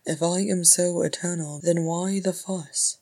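Woman voicing a weary, sullen, croaky dwarf king. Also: high voice, fairly even intonation, measured pace, dark tone. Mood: gloomy, sullen. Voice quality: croaky, mumbling.

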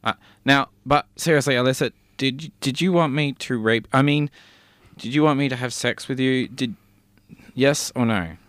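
A man's voice: medium pitch, normal speed, a bit bright, dry recording. A man in a comedy podcast, playing a flustered character in an improvised sketch and stammering through his lines.

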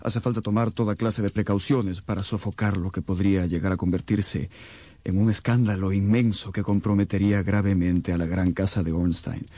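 A man's voice: low voice, steady tone, moderate pace, mellow, dry recording. An actor playing a tall, haughty king in a radio drama, speaking in a formal, grave and stately manner.

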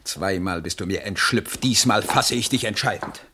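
An actor in a 1970s German radio play, playing an intriguing helper and possessive lover in a florid, conspiratorial, declamatory manner with a husky voice. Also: male voice, lowish voice, moderate pace, bright, forceful tone, slight background noise. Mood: conspiratorial, ardent.

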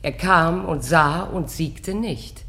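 A woman's voice: sits low, slow pace, fairly bright tone, heavily acted. Haughty, defiant queen. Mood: proud, defiant. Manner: firm, declamatory. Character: haughty, defiant queen, proud mother of a prince. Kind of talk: classical radio drama acting.

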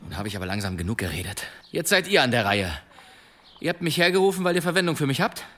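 A man's voice: medium pitch, big pitch swings, quick pace, fairly bright tone. An actor playing a boastful medieval demon hunter, telling his tales in a courtly, suspenseful manner in a German radio drama. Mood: proud, courteous. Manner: theatrical, suspenseful, courtly delivery.

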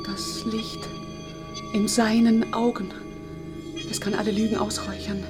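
An actress playing a fervent woman devoted to the party leader, speaking in an earnest, hushed, devout way. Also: medium pitch, little pitch movement, measured pace, music in the background, natural voice. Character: fervent, frightened woman loyal to the party chief. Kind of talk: radio drama acting.

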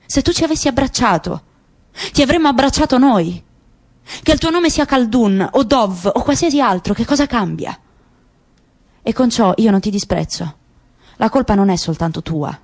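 A woman's voice: fairly high pitch, animated, moderate pace, darkish tone. A woman voicing a weary, grieving middle-aged father in a literary radio reading, speaking in a grave, searching way. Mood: bitter, reproachful.

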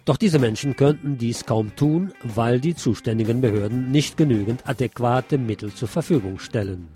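A man's voice: mid-range voice, normal speed, music in the background. A man presenting scripted news on the radio, reading as a presenter.

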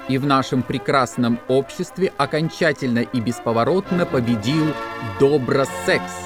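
A man's voice: medium pitch, natural voice. An actor playing a stern male party official, pompously declaiming propaganda in an audio drama with music behind him. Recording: clear music bed under voice.

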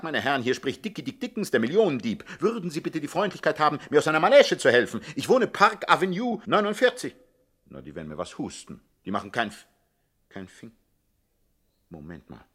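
A smooth, bossy gangster chief in a 1960s radio comedy. He speaks at a middling pitch with dry, theatrical authority. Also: very animated, a little fast, a bit bright. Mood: brisk, ironic. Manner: commanding, drily theatrical. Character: suave, bossy master thief and gang boss.

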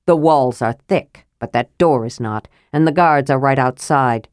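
A woman's voice: very deep voice, unhurried, no room sound, studio quality, voice pushed down. A woman narrator voicing a cautious, practical male half-elf leader in a fantasy audiobook, weary and reasonable. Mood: hushed warning.

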